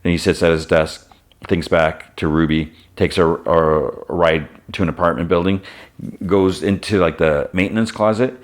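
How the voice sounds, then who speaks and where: A man's voice: low voice, steady tone, moderate pace. A man hosting a podcast, retelling a TV episode scene by scene in a loose, casual way and quoting the characters as he goes. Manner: retells scenes, briefly voicing characters in quotes; loose, with restarts and "he's like" quotes. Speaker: podcast host.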